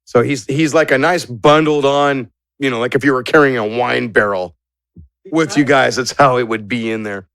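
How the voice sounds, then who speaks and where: A man's voice: medium pitch, moderate pace, a bit bright, clear, resonant tone, no echo, studio quality. A man narrating a tabletop game as game master in a casual, descriptive, conversational way.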